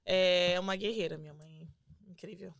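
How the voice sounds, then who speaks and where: A young adult woman with a Brazilian Portuguese accent, a singer guesting on a podcast, telling her faith story in an earnest, animated way and acting out quotes. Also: lowish voice, moderate pace, no room sound, studio quality. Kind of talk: casual testimony chat.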